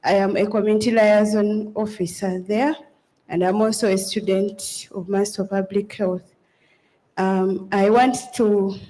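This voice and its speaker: A woman's voice: medium pitch, warm tone, low-quality recording. Polite Ugandan woman asking a question. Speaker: community liaison officer. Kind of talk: conference floor question. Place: conference hall.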